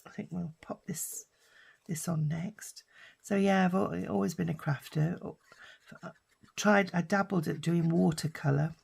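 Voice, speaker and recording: An older British woman with a husky, hoarse voice from a lingering cough, chatting casually while she crafts. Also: fairly low pitch, fairly even intonation, unhurried, a little mellow. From United Kingdom. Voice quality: husky, raspy. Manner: rambling and chatty, with many fillers. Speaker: craft vlogger.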